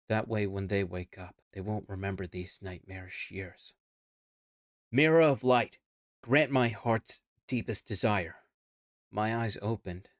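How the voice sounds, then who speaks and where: A man narrating a scripted first-person audio log in a measured, somber storytelling manner. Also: on the low side, no echo, studio quality. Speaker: VTuber narrating his character's lore.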